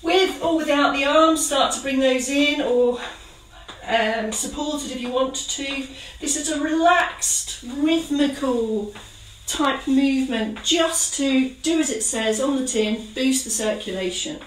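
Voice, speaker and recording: A woman leading a gentle exercise class, giving calm, encouraging step-by-step cues. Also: high-pitched, slow pace, distant mic, a little hiss or hum. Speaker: exercise instructor. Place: kitchen. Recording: roomy sound from away from the mic.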